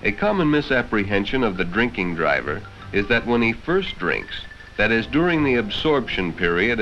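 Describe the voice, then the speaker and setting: A man's voice: on the low side, fairly bright tone. An American man narrating a 1960s educational film from a script in a stern, lecturing, condescending manner. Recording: dull vintage film soundtrack with music.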